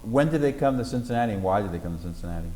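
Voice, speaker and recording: Low-voiced male interviewer. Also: lively intonation, rapid-fire, darkish tone, long reverb tail, slight background noise. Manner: friendly, prompting questions with fillers. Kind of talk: oral history interview. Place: library room.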